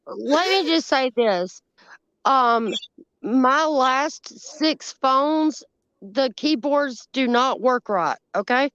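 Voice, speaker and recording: A woman with a Southern accent, a guest talking slowly and emphatically in a casual live-stream chat. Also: sits high, animated, a bit bright, smooth, no room sound. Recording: dull dry call audio.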